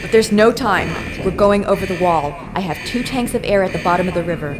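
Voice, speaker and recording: A woman's voice: mid-range voice, fairly quick, over music, close to an everyday voice. An actress playing a resolute, serious female secret agent in a video game, speaking tersely. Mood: urgent. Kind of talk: video game cutscene acting.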